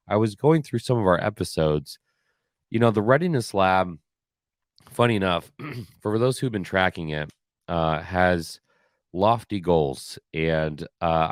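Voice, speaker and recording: A man hosting a podcast alone, slightly hoarse and raspy, talking casually with many fillers and restarts. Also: deep voice, no echo, clean studio sound. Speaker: podcast host. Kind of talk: solo casual year-in-review talk.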